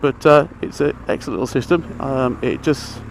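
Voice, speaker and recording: A man's voice: mid-range voice, normal speed, vocal fry. A man giving a casual, rambling, matter-of-fact gear chat as a motorcycle vlogger. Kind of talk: casual chatty gear review while riding. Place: outdoors inside a helmet on a motorbike. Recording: engine, traffic and outdoor noise, helmet mic.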